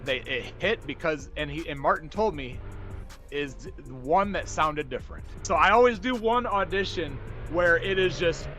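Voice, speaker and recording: A man's voice: fairly high pitch, expressive, moderate pace, sharp tone, faint music behind. A man talking casually and enthusiastically as a voice actor in an interview.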